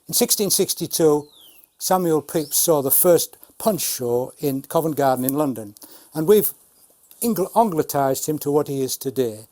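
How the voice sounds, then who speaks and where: A man's voice: fairly high pitch, mellow. A man in his seventies, a retired puppeteer, giving an explanatory talk about his craft. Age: 70s. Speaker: retired Punch and Judy puppeteer.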